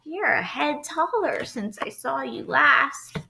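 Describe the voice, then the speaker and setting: A woman's voice: high-pitched, lively intonation, slow, gravelly, bright, forceful tone, a little hiss or hum, pitched above the performer's own voice, heavily acted. A woman voicing a sly, hungry male wolf who coaxes sweetly, posing as a kindly grandmother in a storybook. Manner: sugary sweet coaxing. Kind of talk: storybook read-aloud character voice.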